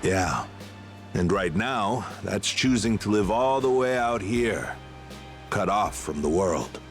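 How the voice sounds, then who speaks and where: Earnest loyal pirate crew guy in a game, low voice, explains stuff, music behind. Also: animated, slow pace. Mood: somber. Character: earnest, loyal veteran male pirate crewman. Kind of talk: video game voice acting.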